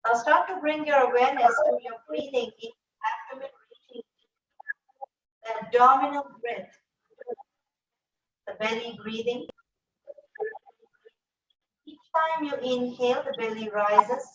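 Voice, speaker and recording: Calm woman guiding breathing, far-off echo. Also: a little high. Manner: slow, soft, calm instructions with long pauses. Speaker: yoga teacher. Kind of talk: guided breathing meditation. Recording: echoey room, voice from a distance, dull sound.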